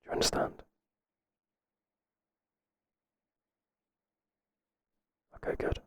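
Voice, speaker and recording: A man acts out a male boss in an intimate ASMR roleplay. He whispers throughout in a breathy, halting way. He comes across as flustered and professional, kind but firm. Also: natural voice. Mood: stern. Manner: hesitant, halting, gently firm.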